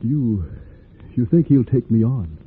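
An actor playing a polite, earnest runaway slave longing for freedom in an old-time radio drama. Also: male voice, mid-range voice, animated, a little slow, slightly rough. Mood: wondering. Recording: muffled, noisy old radio recording.

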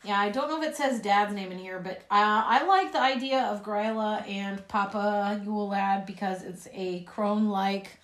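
A woman's voice: mid-range voice, normal speed, few pauses, fairly bright tone. Woman cohost cracking jokes, reading bits from a book, roomy echo around her. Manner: joking, reads aloud from a book. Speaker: podcast co-host. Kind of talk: casual chat. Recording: echoey room.

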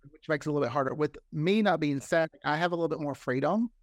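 A man with a slightly higher-pitched voice talks freely as a guest in a relaxed interview. He is chatty and likes to repeat words to stress them. The recording is very dry, with no room sound. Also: fast, no room sound. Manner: talkative, repeats words for emphasis. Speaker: interview guest, fiction podcast creator. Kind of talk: casual interview chat.

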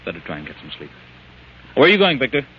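A man's voice: mid-range voice, huge pitch swings, very fast. An actor playing a stoic, protective man stranded in a wasteland, firm and earnest in old-time radio style. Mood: urgent, stern. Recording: dull, hissy vintage radio recording.

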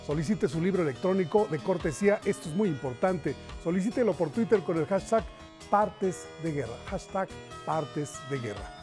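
A man's voice: on the high side, expressive, normal speed, music in the background. A man with a Mexican accent presenting a culture segment in a broadcast reporting style.

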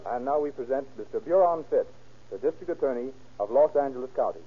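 A man's voice: medium pitch, lively intonation, fast talker, slightly rough. An American man reading scripted announcements and narration in an emphatic 1930s radio announcer style. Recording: tinny 1930s radio recording, music behind.

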